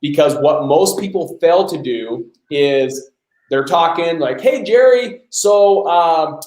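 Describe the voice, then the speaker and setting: A man's voice: on the high side, slow pace, reverberant, poor audio. A man hosting a live question-and-answer session, coaching emphatically and talking straight to the audience. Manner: energetic, emphatic, addresses audience as guys. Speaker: host.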